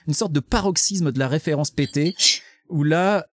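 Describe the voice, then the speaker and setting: A man's voice: a little high, moderate pace, a little mellow, no room sound, studio quality. A man hosting a podcast in casual chat about a book, sarcastic and mocking, swearing freely as he talks. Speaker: podcast host.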